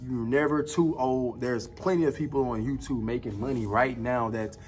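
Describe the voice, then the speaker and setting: A man's voice: mid-range voice, steady tone, moderate pace, a little mellow, faint music behind. Laid-back young man, slangy host. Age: 20s. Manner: laid-back slang, calls people "bro" and "dog". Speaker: podcast host. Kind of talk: casual chat.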